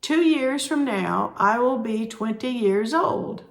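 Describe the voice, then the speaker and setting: A woman teaching sign language, explaining each sign slowly and repeating the words as she demonstrates. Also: on the high side, expressive. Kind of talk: slow instructional explanation.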